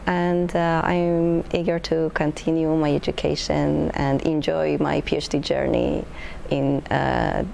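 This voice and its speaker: Low, gravelly, hesitant woman. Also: fairly even intonation, unhurried, a little mellow, slight background noise. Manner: hesitant, many uh fillers, simple grammar. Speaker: PhD student panelist. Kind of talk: panel discussion answers.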